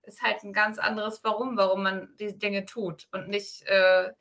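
A woman's voice: mid-range voice, little pitch movement, a little slow, fairly bright tone. A woman from northern Germany answering interview questions as a guest in a casual, chatty, loosely strung way. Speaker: podcast guest, self-employed virtual assistant.

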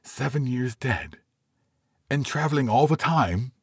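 A man voicing a fearful, stubborn old miser, strained and pleading with a pitched-up voice. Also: animated, unhurried, husky, dry recording, studio quality. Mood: wary.

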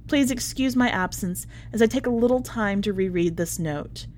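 A woman voicing a polite, chatty, quietly unhinged prisoner writing a letter, in a prim manner, as a dramatic story reading. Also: mid-range voice, normal speed, a little hiss or hum, lightly acted. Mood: polite, confiding. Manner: prim, ornate, politely understated phrasing.

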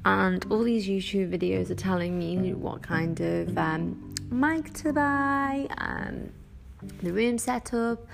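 A woman with a Scouse accent hosting a casual solo podcast, rambling and chatty with many ums and quick self-corrections. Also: mid-range voice, vocal fry, over music. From Northwest England. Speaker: podcast host.

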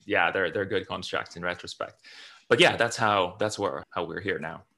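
Very deep-voiced man, halting. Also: pushed and bright. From Finland. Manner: hesitant, many restarts and repeated words. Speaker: guest. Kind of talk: casual interview talk.

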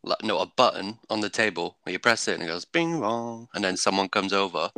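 A man's voice: deep voice, animated, brisk, sharp tone, no room sound, clean studio sound. A young man on a podcast, telling laid-back stories in casual chat and swearing now and then.

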